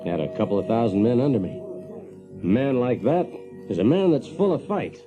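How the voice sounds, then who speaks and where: A man's voice: medium pitch, moderate pace, music in the background, lightly acted. An actor playing a brash, practical Yankee factory man who tells his tale in a folksy, chuckling way for an audio drama. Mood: amused, boastful. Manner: folksy, chuckling, animated, drawling emphasis. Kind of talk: audio drama first-person storytelling.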